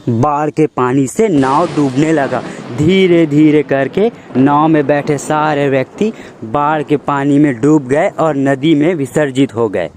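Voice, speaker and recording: A man narrating a children's moral story in a measured, deliberate storytelling manner. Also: a little high, a little mellow, soft background music.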